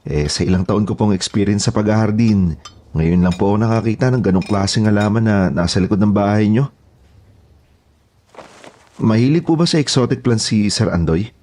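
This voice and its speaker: A man's voice: lowish voice, moderate pace, dark tone, lightly acted. A man playing a polite, obedient houseboy and gardener who speaks deferentially in an audio horror drama. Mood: respectful, eager. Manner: deferential, eager to report.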